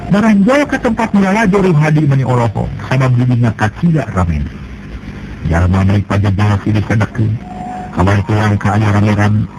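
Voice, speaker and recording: A man narrating a traditional folk tale, jumping up in pitch when he voices the characters. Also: fairly quick, noisy background. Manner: lively storytelling, jumps in pitch for characters. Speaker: storyteller.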